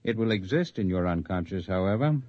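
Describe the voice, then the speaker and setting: Composed idealistic rebel leader, muffled vintage radio. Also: male voice, low-pitched, expressive, a little mellow, dry recording. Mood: calm, instructive. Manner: measured, formal, speechifying. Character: composed, articulate male underground freedom movement leader, idealistic and persuasive. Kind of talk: 1950s radio drama acting. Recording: dull lo-fi vintage radio recording.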